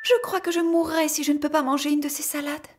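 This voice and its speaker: Woman acting a high-voiced, fretful young wife. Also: little pitch movement, fast talker, over music, lightly acted. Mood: longing, plaintive. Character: frail, craving, worried young wife. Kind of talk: storybook audio drama acting.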